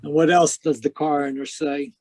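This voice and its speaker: Casting: male actor for hardboiled 1940s radio detective, lighter-pitched voice, dry wisecracks, world-wise and cynical. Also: little pitch movement, normal speed, darkish tone. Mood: sardonic, defiant. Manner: wry, terse, slangy delivery.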